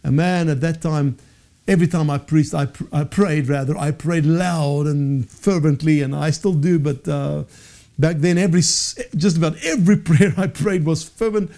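A man's voice: on the high side, moderate pace, dark tone. A man in his forties whose first language is Afrikaans, teaching a Bible session to camera in a studio with earnest, gesturing delivery and frequent self-corrections. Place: studio with dark backdrop. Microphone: lapel mic.

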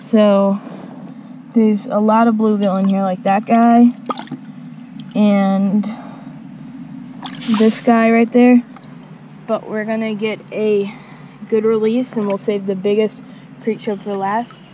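A woman's voice: medium pitch, little pitch movement, takes it slowly, pauses often, mellow, dull audio, slight background noise. Chatty woman vlogging by a creek. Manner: chatty, repeats words, addresses viewers as guys. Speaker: fishing vlogger. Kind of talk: casual outdoor vlog narration. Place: outdoors by a small creek. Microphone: action camera.

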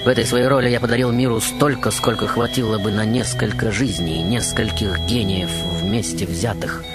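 A man's voice: fairly low pitch, fairly even intonation, normal speed. A man hosting a radio show in a theatrical monologue, with a grandiose, dramatic manner. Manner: grandiose, dramatic, with whispers and chuckles. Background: music behind the voice.

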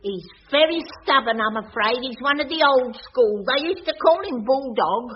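A woman's voice: high-pitched, expressive, normal speed. A woman playing a gossipy, amorous, scatterbrained older cleaner in a radio sitcom. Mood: fussing, fond. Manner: gossipy, dim, cheerful. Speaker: sitcom actress. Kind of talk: vintage radio sitcom acting. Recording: muffled noisy radio recording, studio audience.

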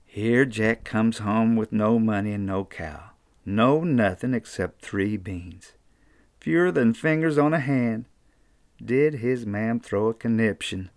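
Creaky older Appalachian man telling stories. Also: fairly low pitch, slow pace, no echo. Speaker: storyteller reading a children's book. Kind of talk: expressive folksy storybook reading. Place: small book-lined room indoors.

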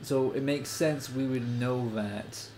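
A stuffy-nosed man giving relaxed, chatty commentary while playing a video game. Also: mid-range voice, slow, a little hiss or hum. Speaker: let's play host. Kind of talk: casual gameplay commentary.

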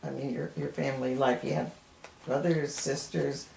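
An older woman with a gravelly voice interviewing for an oral history, friendly and encouraging. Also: extremely low pitch, fairly even intonation, normal speed, a little mellow. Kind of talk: interview questions. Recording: echoey room, faint hiss.

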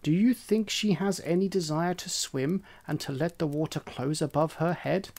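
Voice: normal speed, natural voice. A reader putting on a scolding bossy hen. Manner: lecturing, loud and high. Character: bossy conceited hen, scolding and self-important. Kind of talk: storybook reading.

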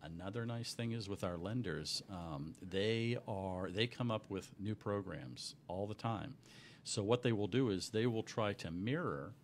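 Slightly hoarse middle-aged man, low-pitched, earnest explainer, desk-mic radio studio with faint hum. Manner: earnest, explanatory, many restarts. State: a little hoarse. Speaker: mortgage company owner, guest. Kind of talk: explaining on a radio talk show. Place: small radio studio with backdrop. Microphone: desk microphone on boom.

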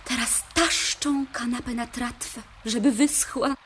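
A woman's voice: a little high, fairly even intonation, measured pace, fairly bright tone. A woman playing a nosy, envious neighbour in a radio play, commenting with sniffy sarcasm. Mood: sniffy, disapproving. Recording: faintly noisy 1970s vinyl record.

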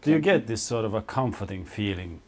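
Low-voiced man. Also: animated, fairly quick, over music. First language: Finnish. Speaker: podcast co-host. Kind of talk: casual chat about film. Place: small indoor stage with curtains, seated at a table.

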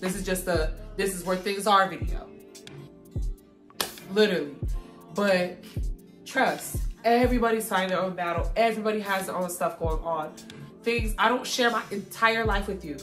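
Young woman vlogger, hoarse and stuffy, preachy and encouraging, roomy echo, soft music under. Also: mid-range voice, moderate pace, long pauses. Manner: encouraging, preachy, addresses viewers directly. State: hoarse and congested. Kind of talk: casual faith-filled catch-up talk.

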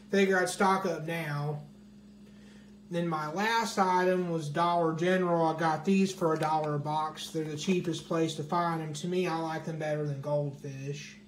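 A man casually showing his grocery haul item by item, speaking in a plain, matter-of-fact way into a handheld phone camera at home. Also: fairly high pitch. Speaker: vlogger. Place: small indoor room at home. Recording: echoey room with faint hiss.

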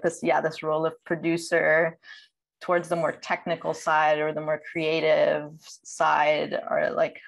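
Woman, thirties, lowish voice, conversational, thoughtful, rambling, video call panelist. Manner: thoughtful, rambling, self-correcting. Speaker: panelist, audio producer. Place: indoor room.

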